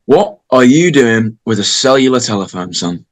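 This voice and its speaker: A man playing a stern, weary middle-aged small-town sheriff in an amateur script read, gruff and measured. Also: mid-range voice, lively intonation, lightly acted.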